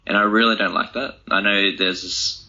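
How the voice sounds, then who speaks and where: A man's voice: on the low side, fairly even intonation, moderate pace, pushed and bright. A young man answering interview questions in a casual, reflective way, thinking aloud in informal language. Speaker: interview guest, author and speaker. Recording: dull, lo-fi audio.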